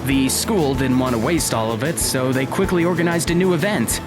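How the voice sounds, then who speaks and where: A woman playing a concerned, level-headed teenage high school student in an audio drama. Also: normal speed, over music. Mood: explaining.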